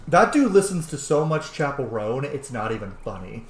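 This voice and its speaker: A man's voice: mid-range voice, very animated, normal speed, roomy sound. A man giving casual, chatty gameplay commentary, exclaiming and swearing as he plays. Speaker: let's player narrating gameplay.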